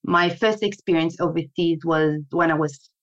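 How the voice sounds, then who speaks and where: A Brazilian-accented woman, native in Portuguese, speaks as a podcast guest. Her voice sits a little low and she answers in a reflective, measured, conversational way. The recording is slightly dull and lo-fi. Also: fairly even intonation.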